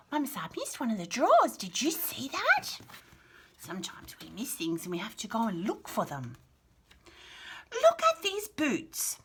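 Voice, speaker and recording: Lively, bright-voiced Australian woman reading to toddlers. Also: fairly high pitch, unhurried, breathy edge. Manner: animated, sing-song, questioning child-directed talk. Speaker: children's picture book read-aloud host. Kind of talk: reading picture books aloud to toddlers, chatty asides.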